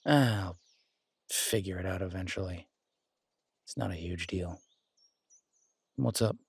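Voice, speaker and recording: A man playing an affectionate, easygoing werewolf boyfriend who tinkers with bikes, speaking casually and haltingly in an intimate roleplay audio drama. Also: deep voice, vocal fry, a little mellow, husky, no echo, exaggerated voice. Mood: warm, teasing.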